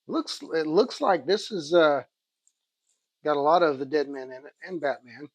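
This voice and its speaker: A man's voice: a little high, moderate pace, no room sound. Rambling Oklahoma man with a dry voice. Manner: rambling, thinking aloud while browsing. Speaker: comics channel host. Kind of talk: casual show-and-tell haul review.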